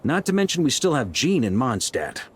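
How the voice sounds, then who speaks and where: An actor playing a confident, breezy yet resolute middle-aged knight commander in game dialogue, expressive and casually commanding. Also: male voice, mid-range voice, normal speed, slight background noise, natural voice. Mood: serious, persuasive.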